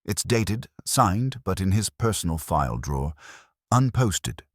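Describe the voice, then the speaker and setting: A man's voice: low-pitched, very animated, unhurried, no room sound, studio quality, voice pushed up, heavily acted. Man voicing a prim, efficient woman records clerk. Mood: crisp, businesslike. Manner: precise, formal, without preamble. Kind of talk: audiobook detective story dialogue.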